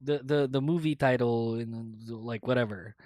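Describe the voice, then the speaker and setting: A woman's voice: rumbling low, normal speed, dark tone. A woman in her late twenties with a Filipino accent, hosting a casual podcast chat and rambling with many fillers and restarts. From Philippines. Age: 20s. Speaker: podcast host.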